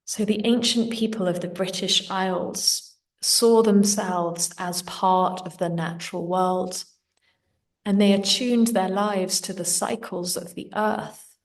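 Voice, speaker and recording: A woman leading a guided meditation in a gentle, soothing, unhurried manner. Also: medium pitch, steady tone, far from the mic. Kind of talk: slow guided meditation narration.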